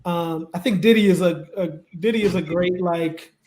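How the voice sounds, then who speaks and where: High-voiced male host in an echoey room. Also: a little mellow, a little hiss or hum. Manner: easygoing, affirming, quick to agree. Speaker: co-host of a web interview show. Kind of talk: casual interview hosting.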